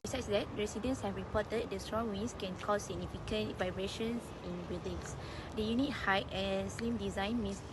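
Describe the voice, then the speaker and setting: Halting woman narrator, clear street noise. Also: mid-range voice, steady tone. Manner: halting delivery with repeated words. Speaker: student documentary presenter. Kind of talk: scripted narration. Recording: outdoor recording with clear traffic noise. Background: urban outdoor ambience, vehicles.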